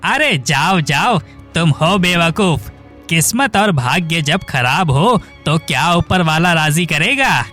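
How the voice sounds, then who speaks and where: Sneering village man, acted out, slow delivery. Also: a little high, lively intonation, pushed and bright, faint music behind, full character voice. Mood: mocking. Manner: sneering, brusque. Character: mocking, dismissive village man.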